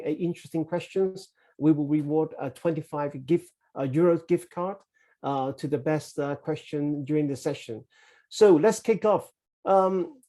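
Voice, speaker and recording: Man, webinar host, slightly high voice, mellow, hesitant, many fillers. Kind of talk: hosting a webinar interview.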